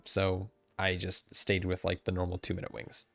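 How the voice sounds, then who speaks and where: Low-voiced man losing his voice. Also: monotone, normal speed, gravelly, a bit bright, no echo, soft background music. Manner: casual, rambling, self-correcting. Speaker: game content creator. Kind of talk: recorded commentary on game changes. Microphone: desk mic, camera off.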